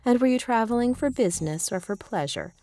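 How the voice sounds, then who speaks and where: A woman's voice: on the high side, expressive, brisk, dark tone, no room sound, faint music behind, voice pushed up, lightly acted. A woman voicing a motherly female customs officer in a story, asking official questions with concern.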